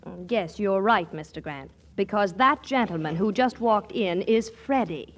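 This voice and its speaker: An actress playing a wry, sharp young fiancée with dry retorts in a 1940s radio comedy. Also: female voice, medium pitch, lively intonation, a little slow, lightly acted. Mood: dry, coy. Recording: vintage 1940s radio broadcast.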